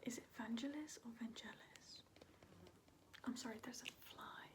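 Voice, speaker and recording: A woman whispering in a breathy, unvoiced voice, chatting casually and hesitantly in an ASMR style as a video host. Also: on the high side, racing. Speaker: video host showing a record collection.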